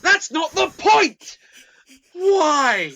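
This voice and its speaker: A woman's voice: extremely high pitch, sing-song, drawn-out words, a bit bright, exaggerated voice. A woman playing an angry, headstrong stranded passenger in an amateur radio play, combative and sarcastic. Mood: outraged.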